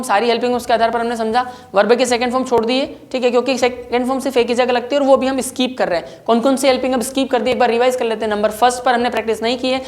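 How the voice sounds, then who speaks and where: A woman with an Indian accent teaches spoken English as a grammar lesson, drilling repeated example sentences. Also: on the high side.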